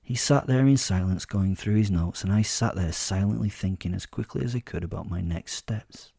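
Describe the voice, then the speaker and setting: Man voicing a smug werewolf, low and mellow. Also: normal speed, gravelly, slightly rough, dry recording, studio quality, full character voice. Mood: gloating. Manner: confiding, gloating, informal storytelling. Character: sly, sadistic, self-assured captive male werewolf. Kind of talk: first-person horror comedy audio drama narration.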